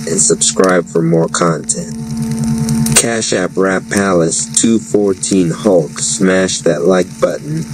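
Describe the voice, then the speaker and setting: A man reading a scripted story and promo lines as a narrator, in a measured read-aloud delivery. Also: low-pitched. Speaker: narrator of a web radio story. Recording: clearly noisy, hissy background.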